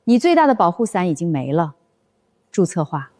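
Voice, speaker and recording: A woman voicing an icy, rule-bound corporate executive in an animated office drama, coldly formal and admonishing. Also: lowish voice, normal speed.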